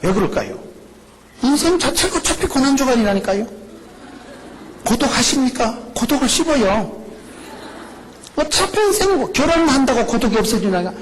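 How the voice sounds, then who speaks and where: A middle-aged male pastor with a Gyeongsang accent, preaching a lively, joking sermon full of rhetorical questions. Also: very high-pitched, moderate pace, pauses often, noisy background. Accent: Gyeongsang Korean. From rural South Korea. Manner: animated, jokey preaching with rhetorical questions and laughs.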